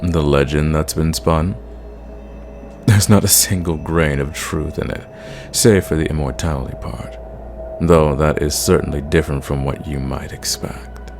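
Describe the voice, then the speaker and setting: A man playing an ancient, sadistic, condescending vampire in an ASMR roleplay, speaking in a theatrical, measured way and drawing out his words. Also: extremely low pitch, creaky, darkish tone, faint music behind, full character voice. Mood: amused, condescending.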